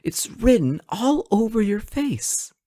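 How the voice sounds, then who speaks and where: A man's voice: high voice, very animated, slow, dark tone, dry recording, clean studio sound, heavily acted. A man acting a sarcastic, teasing hostage who taunts a supervillain in a conversational, theatrical roleplay audio drama. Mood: knowing, probing.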